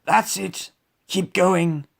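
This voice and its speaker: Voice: mid-range voice, sing-song, a little slow, creaky, husky, voice pushed up, heavily acted. Narrator playing a pompous, blustering cowardly lion. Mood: urging. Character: blustering, cowardly old-chap lion, pompous but nervous.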